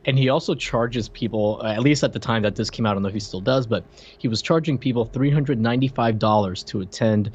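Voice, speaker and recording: A man's voice: medium pitch, fast, a little mellow, breathy edge. A man co-hosting a podcast, discussing films casually in a dry, wry way. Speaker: podcast co-host. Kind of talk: casual film discussion chat. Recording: slightly dull, lo-fi audio.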